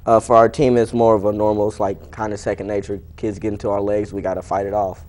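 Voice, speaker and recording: A man's voice: fairly low pitch, flat delivery, fast talker, a little mellow. A young man, an athlete being interviewed, answering casually in a plain, matter-of-fact, informal way.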